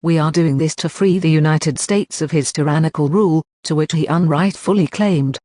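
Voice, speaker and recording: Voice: medium pitch, moderate pace, mellow, no echo. Formal, dry-sounding government alert announcer. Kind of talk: scripted emergency broadcast announcement.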